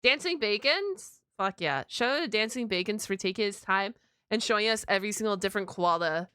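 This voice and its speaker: A woman streamer giving a casual live reaction, excitable, slangy and freely sweary. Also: mid-range voice, brisk, clean tone, no room sound, studio quality. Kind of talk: casual livestream reaction chat.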